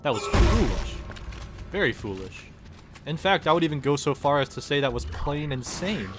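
A man's voice: fairly high pitch, animated, moderate pace, faint music behind. A cocky, foul-mouthed man performing comedic in-character commentary over game footage. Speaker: parody dub performer voicing a game protagonist.

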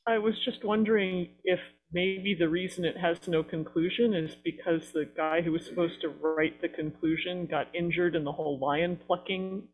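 A man's voice: a little high, fairly even intonation, normal speed, few pauses, phone-quality sound. A man on a panel asking a joking question over a video call.

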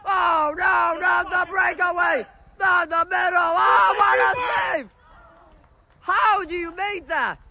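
A man filming a soccer match from the sideline in an indoor dome, commenting excitedly and shouting at the referee and his team. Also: very high voice, slow pace, gravelly, a crowd in the background, background noise. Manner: animated, shouting at the referee and players. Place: indoor sports dome, large. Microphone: phone camera held by the speaker.

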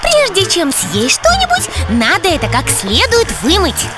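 A woman's voice: very high-pitched, huge pitch swings, a little slow, heavily acted. A woman voicing a tidy, proper young girl piglet in a children's cartoon, prim and lecturing. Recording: loud music behind the voice.

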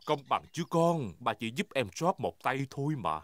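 A man's voice: mid-range voice, big pitch swings, normal speed, heavy background noise, lightly acted. A man dubbing a jovial, bumbling father pig in a cartoon. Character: bumbling good-natured daddy pig. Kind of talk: Vietnamese cartoon dub.

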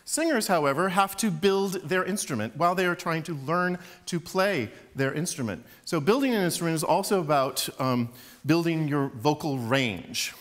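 A middle-aged man, an opera professor, giving a relaxed, chatty public lecture from a concert hall stage, with fillers and small laughs. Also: a little high, moderate pace.

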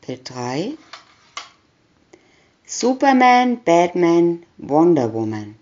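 A woman's voice: fairly low pitch, very animated, drawn-out words, a little mellow, muffled recording. A woman doing a scripted reading of a children's picture booklet, announcing short captions one by one. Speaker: reader.

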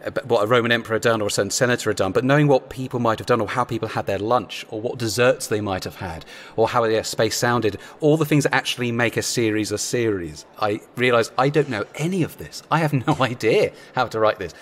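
A man's voice: mid-range voice, fast talker, hardly any pauses. A talkative man chatting as an interview guest, self-deprecating, recorded with reverberant room sound. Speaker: comedy writer, podcast guest. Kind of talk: casual interview chat.

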